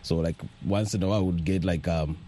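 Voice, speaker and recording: A man's voice: deep voice, fairly even intonation, quick pace, dark tone, slight background noise. A man answering casually as a studio interview guest, hesitant and heavy on filler words. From rural Malawi. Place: radio studio.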